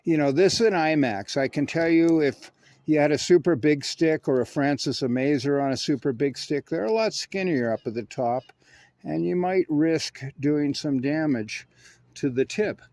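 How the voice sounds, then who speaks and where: A man's voice: fairly high pitch, normal speed, a little mellow, no room sound. Man giving a close, dry-sounding how-to. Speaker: host of a radio hobby channel. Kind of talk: hands-on how-to demonstration and narration.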